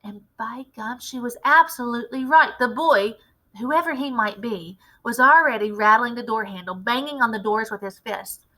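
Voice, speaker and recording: Woman, high bright voice, expressive storybook narration, dramatic phrasing. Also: moderate pace, poor audio. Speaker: read-aloud teacher.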